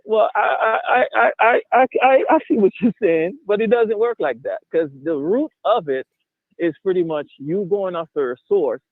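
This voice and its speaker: A man's voice: high-pitched, moderate pace, no room sound. A man from Haiti calling in, talking hesitantly with many stammering restarts. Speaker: caller. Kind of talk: casual debate. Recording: tinny phone line.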